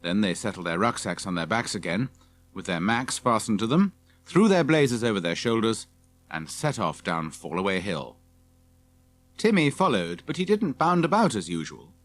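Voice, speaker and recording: A man's voice: fairly low pitch, sing-song, normal speed. A man reading scripted narration for a children's audiobook.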